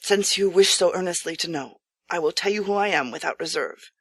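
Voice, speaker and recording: A woman's voice: fairly low pitch, fairly quick, husky, dry recording. A woman voicing an earnest, courteous, impoverished samurai living as a humble farmer, in formal old-fashioned speech for an audiobook. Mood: reluctant candor. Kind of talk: audiobook character acting.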